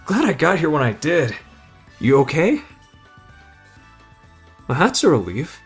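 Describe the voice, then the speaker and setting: A man's voice: a little high, wildly swooping pitch, a little slow, husky, soft background music, exaggerated voice. A man playing an easygoing, friendly but tough teenage delinquent in an anime roleplay, casual and slangy. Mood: friendly upbeat. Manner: casual, slangy, addresses listener as buddy. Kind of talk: anime fan ASMR roleplay.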